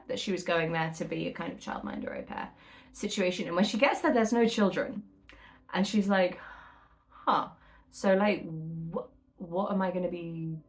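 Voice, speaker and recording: Low-voiced woman, chatty vlogger. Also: a bit bright. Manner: informal, hesitant, self-correcting, rambling storytelling. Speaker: book vlogger, host. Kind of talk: casual chatty book vlog, reviewing reading.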